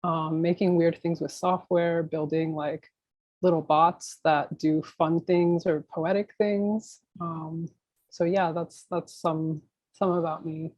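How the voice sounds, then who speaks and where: A woman's voice: low voice, steady tone, slow pace, a little mellow, dry recording, muffled recording. An American woman from Miami speaking casually as an interview guest, thinking aloud in an informal, rambling way. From Miami, United States. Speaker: interview guest, new media artist.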